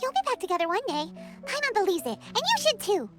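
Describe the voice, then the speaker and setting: Childlike fairy sidekick, very high squeak, excitable, greedy, video game, music behind. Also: female voice, fast. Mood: encouraging. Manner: bubbly, refers to self in third person. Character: tiny excitable childlike fairy companion, female, cheerful, greedy for food.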